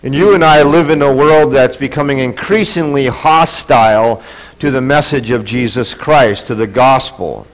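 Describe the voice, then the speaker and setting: A man's voice: mid-range voice, normal speed, rough recording. Congested preaching man. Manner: earnest, building in intensity. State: congested from a cold. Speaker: pastor. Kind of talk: sermon. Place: church.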